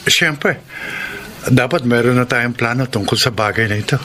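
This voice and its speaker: An actor playing an earnest, insecure and possessive suitor of forty, pleading in Filipino radio drama style. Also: male voice, mid-range voice, normal speed, pushed and bright, slight background noise, natural voice. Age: 40s.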